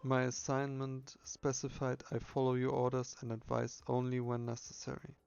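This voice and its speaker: A man voicing a calm, rational consultant investigator in a game, formal and measured. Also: medium pitch, vocal fry, clear, resonant tone, lo-fi sound, natural voice.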